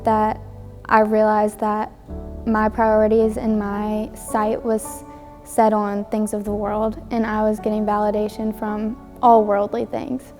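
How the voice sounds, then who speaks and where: A woman's voice: medium pitch, completely flat delivery, unhurried, warm tone, soft background music. A young woman from Louisiana, a college student, speaking sincerely and steadily about a hard time. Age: young adult. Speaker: student giving testimony. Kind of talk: personal testimony.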